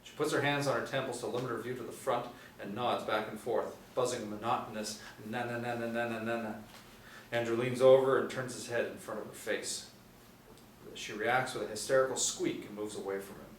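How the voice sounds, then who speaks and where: A man's voice: medium pitch, steady tone, fairly quick. A middle-aged man reading out screenplay action as a scripted narrator at a table read. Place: small indoor room, seated at table read. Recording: roomy, distant mic, noisy ambience.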